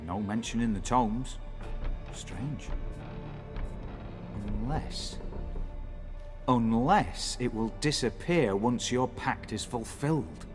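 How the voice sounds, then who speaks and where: Male actor as elderly recluse scholar, game drama: slow, formal, bitter, music beneath. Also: medium pitch, natural voice. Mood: puzzled. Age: older. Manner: slow, formal, archaic phrasing. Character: fearful old male scholar, long confined, bookish and bitter. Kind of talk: dramatic video game acting. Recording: music under the voice.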